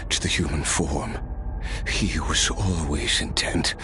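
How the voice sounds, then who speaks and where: An actor playing an old immortal warrior in a video game, weary and wistful, with a reflective, wry manner. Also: male voice, rumbling low, fairly even intonation, slow, background noise. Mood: solemn reminiscence. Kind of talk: dramatic video game voice acting, storytelling.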